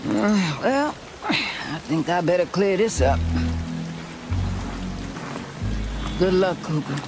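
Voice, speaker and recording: A woman's voice: on the low side, sing-song, a little slow, over music. An actress playing a mysterious, easygoing frontier woman in a video game, lightly teasing. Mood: calm. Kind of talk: video game cutscene acting.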